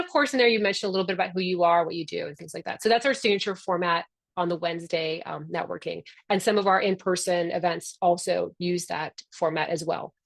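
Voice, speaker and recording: A woman's voice: mid-range voice, no room sound. A middle-aged woman whose first language is Spanish talks members through a live orientation over a video call. She is chatty, upbeat and affectionate, in a mid-range voice. The sound is dry and close. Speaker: founder hosting a member orientation. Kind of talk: live online orientation talk.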